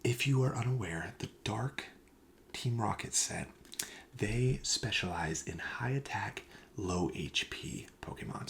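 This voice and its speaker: A man playing a friendly, reassuring card shop clerk in a soft-spoken ASMR roleplay, breathy and often near a whisper, with casual, hesitant sales patter. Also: on the low side, full character voice. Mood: calm, explanatory.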